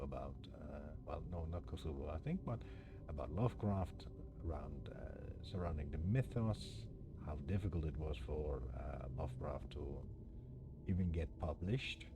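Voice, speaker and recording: A man hosting a game playthrough with casual, musing commentary, often posing questions to the viewer. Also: very deep voice. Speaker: game streamer and host. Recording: clear background noise, ambience. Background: ambient noise.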